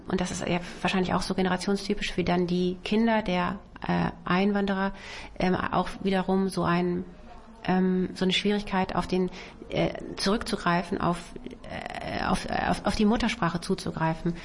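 A woman's voice: medium pitch, flat delivery, moderate pace. Thoughtful woman author searching for words. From Germany. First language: Russian. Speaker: novelist being interviewed. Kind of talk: reflective interview answers.

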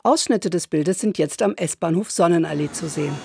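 A woman narrating a scripted radio report in a measured, clear reporting manner. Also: fairly low pitch, a little mellow, slight background noise.

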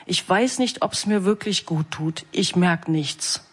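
Guy with a high voice reading fiction out loud, slow and steady. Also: husky. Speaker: author reading from a novel. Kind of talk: literary reading.

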